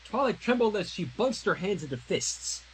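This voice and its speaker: A man's voice: high voice, moderate pace, heavy background noise. A man reading fanfiction aloud on a livestream, narrating theatrically with joking asides. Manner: theatrical, animated.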